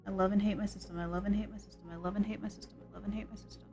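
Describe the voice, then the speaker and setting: A woman streaming a game, chatting casually and rambling as she thinks out loud. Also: mid-range voice, fairly even intonation, very fast, over music. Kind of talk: casual live-stream chat.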